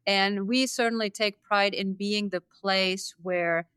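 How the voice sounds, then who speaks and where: A man in his fifties from Norway, a college dean, speaking in a measured way with dry humor in a casual interview. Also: sits high, fairly even intonation, sharp tone, clean tone, dry recording. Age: 50s. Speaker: dean of academics.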